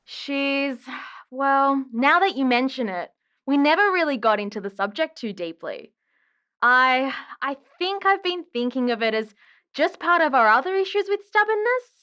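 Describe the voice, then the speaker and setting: Audio drama caller: aggrieved, gossipy woman, high and very animated. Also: normal speed, exaggerated voice. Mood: hesitant, conceding. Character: exasperated, dramatic woman caller griping about her ghost ex. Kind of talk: audio drama phone-in acting.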